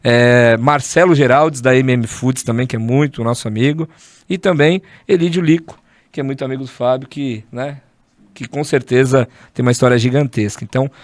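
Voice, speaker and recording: A man hosting a studio podcast, his voice sitting at an everyday middle pitch. The sound is dry and close, and he talks in an enthusiastic, chummy way. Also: normal speed, dry recording. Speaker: podcast host.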